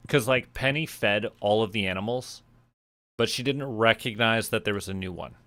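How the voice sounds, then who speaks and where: A man's voice: lowish voice, normal speed, a bit bright, no echo. A man from Canada chatting casually as a game streamer, thinking aloud in a playful way. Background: music.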